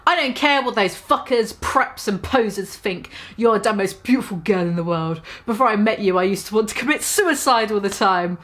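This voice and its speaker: A woman's voice: mid-range voice, lively intonation, normal speed, few pauses, a bit bright, close to an everyday voice. A woman voicing a lovesick, pleading goth teenage boy in an overwrought, earnest fan fiction reading. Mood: sad, adoring.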